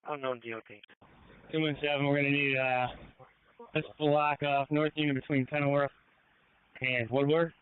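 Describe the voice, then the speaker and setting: A man's voice: mid-range voice, little pitch movement, moderate pace, long pauses, clean tone, phone-quality sound. A man giving terse police dispatch traffic over a two-way radio on a scanner feed.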